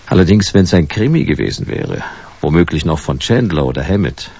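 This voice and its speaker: A hard-boiled private detective in a slightly dull-sounding radio drama. He is laconic and sardonic, a wry, deadpan noir figure who does not let go. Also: low-pitched, brisk, gravelly, husky, exaggerated voice. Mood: wry, dry.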